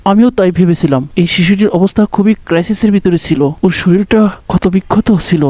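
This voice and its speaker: Guy doing a gentle doctor uncle, soothing, mellow voice, dry sound. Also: sits high, fast talker, no echo. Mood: calm. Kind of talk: cartoon voice acting.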